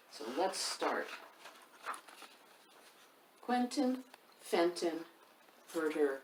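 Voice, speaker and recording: A woman speaks as a children's storytime host, with a medium-pitched voice and an unhurried, expressive delivery that addresses young listeners directly. She sounds set back from the microphone in a roomy indoor space, with faint noise behind her. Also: recorded from a distance. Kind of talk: casual storytime chat and expressive picture-book read-aloud for children.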